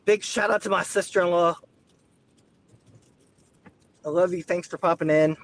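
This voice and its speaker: Raspy man streaming from his car. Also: sits high, fairly even intonation, moderate pace, a bit bright. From Southeast Georgia. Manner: easygoing, addresses viewers as y'all. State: getting over the flu, hiccups. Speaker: weather livestreamer. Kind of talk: live storm-chasing stream commentary. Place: inside a car, driving on icy roads.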